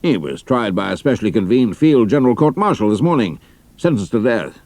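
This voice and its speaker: An actor playing a senior British army intelligence officer, measured and dry, with a British accent, in an audio drama. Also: male voice, lowish voice, big pitch swings, a little mellow, dry recording. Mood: measured, matter-of-fact.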